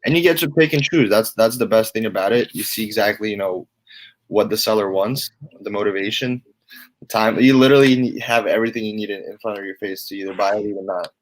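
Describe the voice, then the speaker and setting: A man's voice: lowish voice, fast, rough recording. A man who is a real estate investor chatting casually on a call, enthusiastic and swearing freely.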